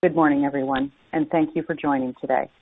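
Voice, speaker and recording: A woman's voice: low voice, steady tone, fast talker, a little hiss or hum. A woman giving a formal, scripted earnings presentation as a company executive over a conference phone line. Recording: dry, tinny narrowband phone line.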